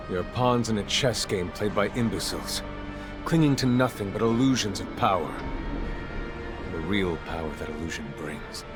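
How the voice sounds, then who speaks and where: Man voicing grave video game master, deep voice, weary, wary, theatrical delivery, loud music behind. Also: close to an everyday voice. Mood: grave warning. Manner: solemn, theatrical, measured. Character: weary, wary mentor, solemn male master technomancer.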